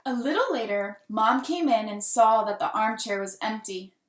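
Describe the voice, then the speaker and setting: A woman reading a children's picture book aloud with deliberate, dramatic emphasis and expressive delivery. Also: on the high side. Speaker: children's storybook reader. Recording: roomy, echoing room sound.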